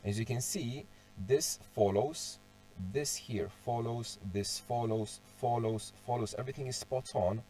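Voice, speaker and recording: A young man who is sick and going hoarse walks through a tutorial casually, thinking aloud, restarting phrases and clearing his throat often. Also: mid-range voice, measured pace, mellow, soft background music. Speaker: car audio hobbyist presenting a tuning walkthrough. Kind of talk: casual screen-share tutorial.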